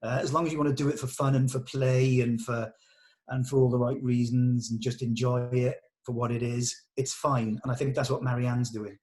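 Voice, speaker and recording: A man talking informally and deliberately as he leads a creative discussion of a script, explaining and suggesting lines of dialogue. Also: medium pitch, little pitch movement, darkish tone. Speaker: script discussion leader at a table read.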